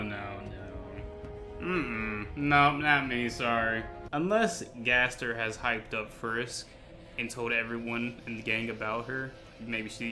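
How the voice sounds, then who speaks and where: A man giving casual, excitable reaction commentary in slangy talk, often repeating words for emphasis. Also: mid-range voice. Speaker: host of a reaction video. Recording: music behind the voice.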